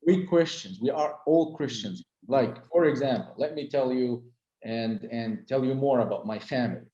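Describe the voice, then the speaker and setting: A man's voice: medium pitch, moderate pace, warm tone, echoey room, dull audio. A middle-aged man, a tour guide whose first language is Arabic, talking casually with lots of "uh" and restarts. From Holy Land. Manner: hesitant, many fillers and restarts. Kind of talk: casual conversation.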